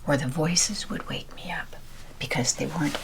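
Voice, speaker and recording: A woman whispering a rambling ASMR chat while ironing, her voice with a deeper timbre. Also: normal speed, vocal fry. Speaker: ASMR creator. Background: ironing noises.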